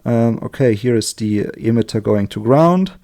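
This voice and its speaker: A man with a German accent, a native German speaker, giving an informal hands-on electronics tutorial in a chatty, halting way, correcting himself as he works. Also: mid-range voice, animated, gravelly, mellow. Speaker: electronics hobbyist demonstrating a circuit build. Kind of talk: informal hands-on tutorial, explaining while working.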